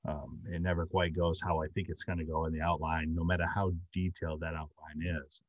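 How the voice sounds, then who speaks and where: A man's voice: extremely low pitch, level tone, a little fast, dry recording. A middle-aged man, a writer, talking casually as a panelist on a video call. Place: indoor room, video call. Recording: dull lo-fi video call audio.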